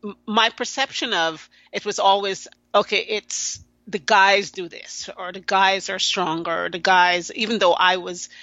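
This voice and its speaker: A woman's voice: mid-range voice, steady tone, fairly bright tone, no echo, muffled recording. A woman from Guyana, a podcast guest, talking earnestly and often restarting her sentences. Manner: earnest, halting with many restarts. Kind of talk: conversational interview.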